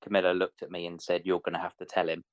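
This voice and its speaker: A man's voice: sits low, monotone, fast talker, muffled recording. A man telling a personal story casually and a little hesitantly, as if to a friend. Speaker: interviewee, friend of the interviewer. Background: guitar music.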